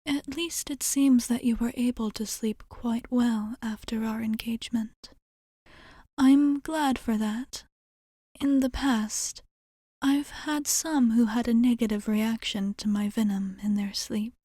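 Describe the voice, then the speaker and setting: A woman voicing a composed, caring vampire bartender in an ASMR roleplay, half-whispering with measured, formal wording and thoughtful pauses. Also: a little high, fairly even intonation, warm tone, dry recording, clean studio sound. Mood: gentle, attentive. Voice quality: breathy, whispery.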